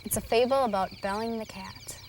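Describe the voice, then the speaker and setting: A woman's voice: on the high side, fairly even intonation, a little fast, a little mellow, close to an everyday voice. A woman playing a friendly, chatty member of a group swapping fables in a scripted children's video. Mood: casual. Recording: distant, with faint cricket noise.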